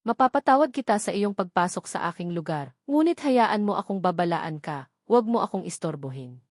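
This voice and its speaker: A woman's voice: on the low side, expressive, fairly quick, no room sound, clean studio sound. A woman voicing a cold, proud old male swordsman hermit in an audiobook, stern and haughty.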